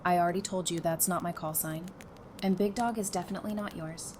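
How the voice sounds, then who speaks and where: A woman playing a sassy, sarcastic, quick-witted wife with dry, teasing delivery in an audio drama. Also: on the low side, fairly even intonation, a little mellow, lightly acted. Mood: mock-stern. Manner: dry, teasing, matter-of-fact. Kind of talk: fan audio drama acting. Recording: clearly noisy background.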